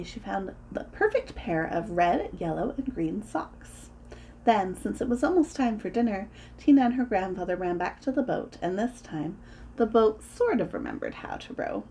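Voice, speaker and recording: A woman reading a children's story aloud in an expressive, deliberate way, as a storyteller acting out the sound words. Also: medium pitch, a little hiss or hum.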